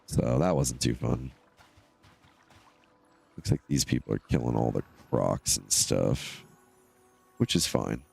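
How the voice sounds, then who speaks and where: Very deep-voiced, congested man streaming. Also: slow pace, gravelly, long pauses, a little mellow, slightly rough, faint music behind. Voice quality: nasal, stuffed-up. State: congested from allergies. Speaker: live game streamer. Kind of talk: casual solo gameplay commentary.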